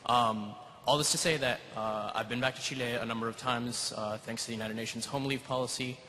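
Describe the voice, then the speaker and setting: A young American man speaks from the audience in a large university hall, in a quick, bright, chatty voice. He strings his story together with many fillers. Long reverb and a faint hum of the crowd surround him. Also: mid-range voice, fairly even intonation. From United States. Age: young adult. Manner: rapid, chatty, lots of fillers. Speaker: student asking a question.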